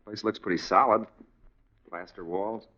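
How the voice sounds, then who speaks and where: A man's voice: lowish voice, fairly even intonation, measured pace, sharp tone. An American man playing a dry, laconic police forensic expert in a 1950s radio drama. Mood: dry, analytical. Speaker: crime lab technician. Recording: muffled 1950s radio recording.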